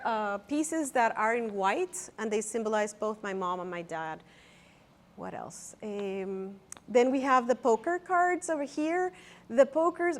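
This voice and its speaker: High-pitched, halting woman. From El Salvador. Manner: halting, nervous, searching for words. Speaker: artist presenting own exhibition. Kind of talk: informal gallery talk, explaining artworks to visitors.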